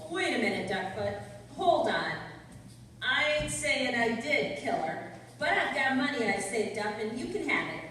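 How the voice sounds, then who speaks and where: A woman's voice: fairly high pitch, very animated, measured pace, sharp tone, exaggerated voice. A woman playing a jealous, cornered circus tiger tamer with slangy, tough talk in an old-time radio crime drama. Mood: defensive, pleading. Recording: echoey hall, far from mic, faint noise.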